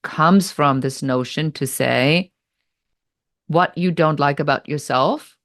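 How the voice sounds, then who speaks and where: A woman from Germany with a German accent, answering interview questions in a deliberate, explanatory way. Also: low voice, a bit bright, no room sound, studio quality. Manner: deliberate, explanatory, pace shifts between slow and quick. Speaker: business strategist guest.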